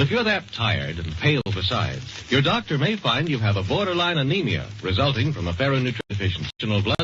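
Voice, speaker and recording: Animated male pitchman on noisy old radio. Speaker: commercial announcer. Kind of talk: 1940s radio advertising pitch. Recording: dull, noisy vintage radio recording.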